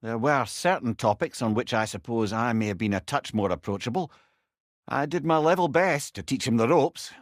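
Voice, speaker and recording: A man's voice: mid-range voice, normal speed, dry recording, clean studio sound, close to an everyday voice. A man playing a witty old talking severed head, a wise counsellor with a Scottish accent and an eloquent, wry manner in a video game. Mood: wry, teasing. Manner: wry, eloquent, animated storytelling. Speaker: companion character in an action game. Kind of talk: video game character voice lines.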